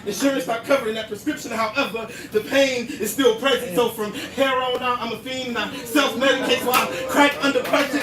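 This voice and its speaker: A man performing a spoken word poem at a slam, with a rhythmic, intense delivery full of wordplay, recorded live at an indoor venue. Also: very high voice. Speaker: slam poet. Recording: roomy, distant live venue sound with noise.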